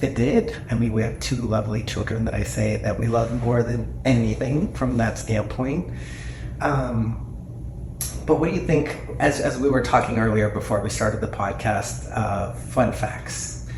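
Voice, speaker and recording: A man's voice: mid-range voice, normal speed. Male podcast host, 40s, playful and teasing, asks lots of questions; echoey room tone, slight hiss. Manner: jokey, teasing, asks his co-hosts questions. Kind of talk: casual podcast chat. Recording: echoey room, faint noise.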